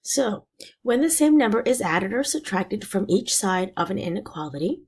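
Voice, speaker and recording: A woman teacher explaining a math lesson step by step, her voice raspy and hoarse from being sick. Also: medium pitch, moderate pace, a little mellow, slight background noise. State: hoarse from illness.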